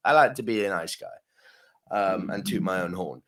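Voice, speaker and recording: A man's voice: deep voice, normal speed, fairly bright tone. A man co-hosting a podcast, telling a long story casually, swearing freely, laughing often and asking "yeah?" as he goes. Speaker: podcast co-host.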